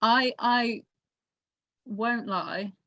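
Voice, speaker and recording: A woman's voice: fairly high pitch, very slow, fairly bright tone, no room sound, highs cut off. A woman chatting casually as a panellist on a motorsport show, giving emphatic, opinionated takes. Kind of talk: casual group discussion.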